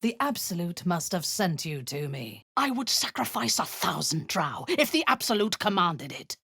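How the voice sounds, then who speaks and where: A ruthless drow priestess who leads raids without mercy, speaking in an unhurried, husky voice. Her tone rises and falls widely, from whispered menace to barked orders. The game recording is close and dry. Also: fairly low pitch, very animated, no echo, exaggerated voice. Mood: commanding. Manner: commanding, menacing, often hushed and hissing. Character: ruthless drow priestess commander, proud and cold. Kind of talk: video game voice acting.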